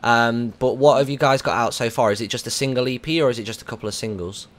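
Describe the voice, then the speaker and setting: A male radio interviewer with an English accent. He talks very quickly in a mid-pitched voice, keeping things chatty and casual with teasing banter.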